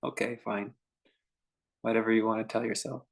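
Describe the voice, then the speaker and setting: A man guiding a meditation in an informal, conversational way, speaking in short phrases with unhurried pauses between them. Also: lowish voice, level tone, creaky. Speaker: meditation teacher leading a guided meditation. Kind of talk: unscripted guided meditation talk.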